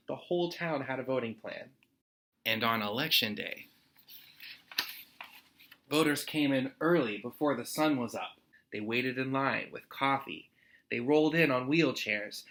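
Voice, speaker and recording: Bright-voiced American man reading to children. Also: mid-range voice. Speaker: storytime host reading a children's picture book aloud to students. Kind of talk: expressive read-aloud for children.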